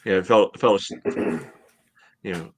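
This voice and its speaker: Brief: male co-host, thirties, deep voice, dry close sound, casual match breakdown. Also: steady tone, measured pace, no room sound. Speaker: podcast co-host. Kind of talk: casual sports analysis. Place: home room, on a video call.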